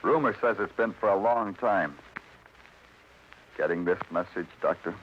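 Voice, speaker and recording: An actor playing a sardonic, relentless police detective with a terse, needling manner in a radio noir drama. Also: male voice, deep voice, unhurried. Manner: terse, needling, pressing questions. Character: hard-boiled New York police detective, sardonic and relentless. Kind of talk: 1950s radio noir acting. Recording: muffled, hissy old radio broadcast.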